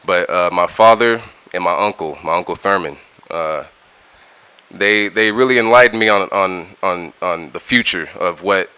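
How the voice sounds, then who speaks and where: A man's voice: low-pitched, moderate pace, bright, forceful tone, clear, resonant tone. A middle-aged man, a music producer talking in a casual interview, laid-back and slangy, often repeating words as he restarts. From California, United States.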